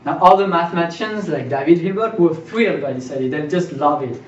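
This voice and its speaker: A young man with a slightly high voice lectures informally, posing questions and checking in with his listeners. He is picked up from a distance in a small seminar room, with strong echo. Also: lively intonation, brisk. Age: young adult. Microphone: far camera. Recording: distant roomy mic, dull, faint noise.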